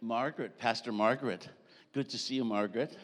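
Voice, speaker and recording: A middle-aged Canadian-born man preaching in short phrases, joking with the congregation and pausing for an interpreter. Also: mid-range voice. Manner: jovial, joking, pausing for translation. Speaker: visiting preacher. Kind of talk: sermon with live interpreter. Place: church hall. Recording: church hall reverb.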